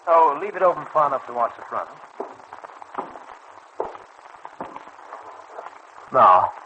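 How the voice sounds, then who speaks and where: An actor in an old radio serial playing a smooth, scheming bootlegging boss with formal, condescending politeness. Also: male voice, mid-range voice, lo-fi sound, lightly acted. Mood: slyly amiable. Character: smooth, scheming male bootlegging boss, coolly double-crossing. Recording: faintly noisy old radio recording.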